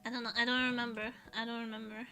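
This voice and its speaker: A woman's voice: on the high side, little pitch movement, rapid-fire, creaky, sharp tone, faint music behind. A woman streaming as a vtuber, rambling in casual chat and laughing often as she answers her chat.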